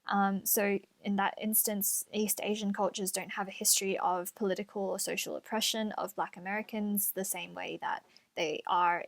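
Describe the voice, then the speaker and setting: A young woman co-hosts a casual podcast at a middle pitch. She talks in a careful, thoughtful way, softening her points with fillers and qualifiers. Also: gravelly. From Australia. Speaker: podcast co-host.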